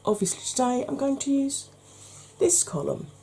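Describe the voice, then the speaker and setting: A woman, hoarse from hay fever, chatting through a makeup tutorial step by step and speaking straight to her viewers, with frequent ums. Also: high-pitched, lively intonation, normal speed, warm tone, slight background noise.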